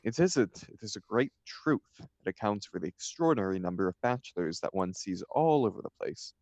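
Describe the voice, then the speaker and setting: Man acting a young upper-class idler, low voice, arch, mock-serious, dry close sound, stage comedy. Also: animated, fairly quick, darkish tone, no echo. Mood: teasing, indignant. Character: flippant, idle upper-class young bachelor gentleman. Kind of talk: stage comedy table reading.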